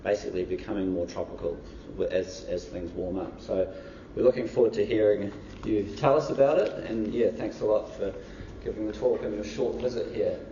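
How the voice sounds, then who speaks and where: A man hosting a lecture event, introducing the speakers in a relaxed, casual way with many fillers. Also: lowish voice, fairly quick, few pauses, slight background noise. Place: university lecture hall. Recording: echoey lecture hall, distant dull mic.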